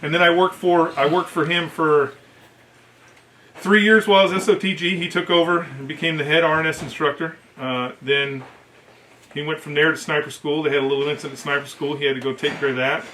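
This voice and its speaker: Bright-voiced American man telling military stories. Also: fairly high pitch, brisk, a little hiss or hum, low-quality recording. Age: middle-aged. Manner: animated, profane, acts out quoted dialogue. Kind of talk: casual anecdotal storytelling.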